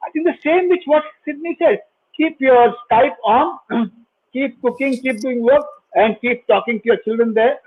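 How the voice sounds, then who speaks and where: A man runs a panel discussion in a high, husky voice. He gives advice and often repeats his phrases. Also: normal speed, narrow, tinny audio. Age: 60s. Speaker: host.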